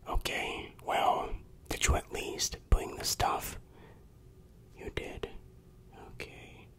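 An ASMR performer in a breathy whisper, playing a bossy, secretive underground dealer in a hushed roleplay. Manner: slow, hushed, secretive delivery with repeated phrases.

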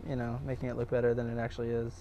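A man's voice: mid-range voice, monotone, rapid-fire, lo-fi sound, a little hiss or hum. A young man, a student filmmaker, answering interview questions casually in a hesitant, self-deprecating way. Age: young adult.

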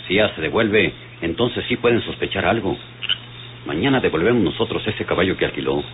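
Deep-voiced country sidekick, noisy recording. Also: male voice, expressive, fairly quick. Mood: concerned, obliging. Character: loyal, practical country outlaw companion. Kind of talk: old radio drama acting. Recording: tinny, noisy old radio recording.

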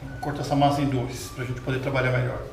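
A man with a Brazilian Portuguese accent hosting a cooking show, explaining each step in a relaxed, chatty way and asking viewers questions as he works. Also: medium pitch, quick pace, vocal fry, over music. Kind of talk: casual cooking demonstration. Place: home kitchen with tiled wall, indoors. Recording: roomy kitchen sound from a distance.

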